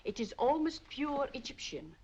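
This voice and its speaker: A prim, exacting tobacconist, proud of every detail she lists. Her voice is pitched a little high, with a breathy, husky softness. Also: sing-song, normal speed, highs cut off, full character voice. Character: fussy, precise tobacconist woman. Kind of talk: 1940s radio acting.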